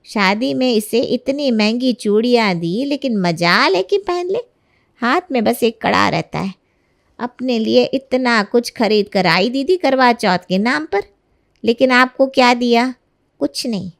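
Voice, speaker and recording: A woman's voice: fairly high pitch, lively intonation, a little slow, vocal fry, voice pushed up. A woman voicing a jealous, sarcastic middle-aged aunt who grumbles pettily in an acted storybook tale. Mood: bitter griping. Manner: sarcastic, complaining. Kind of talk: acted Hindi storybook narration.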